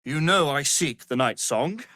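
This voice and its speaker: A man's voice: a little high, expressive, measured pace, bright, forceful tone, no room sound, studio quality. A man voicing an arrogant, power-hungry wizard in a fantasy game, with a theatrical, pompous, condescending delivery. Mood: haughty, menacing.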